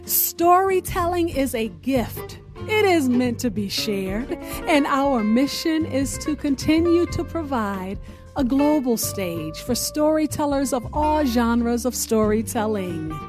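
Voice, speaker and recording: A woman's voice: high-pitched, very animated, slow pace. A woman hosting a radio show, giving a scripted introduction to the program. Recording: music playing behind the voice.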